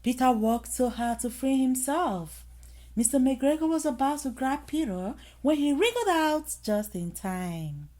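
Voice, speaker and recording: A woman reading a children's bedtime story aloud in an expressive manner, with dramatic emphasis. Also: high voice, unhurried. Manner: expressive, dramatic emphasis with acted sound effects.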